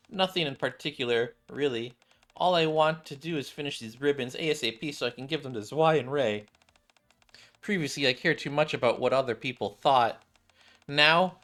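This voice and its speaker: A man voicing an earnest, excitable young girl from a game, with an eager, halting delivery. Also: medium pitch, close to an everyday voice.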